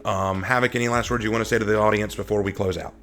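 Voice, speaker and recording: A man's voice: lowish voice, fairly even intonation, racing, fairly bright tone, slight background noise. A man hosting a gaming interview in a chatty, self-effacing, casual way. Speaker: host of a gaming interview series.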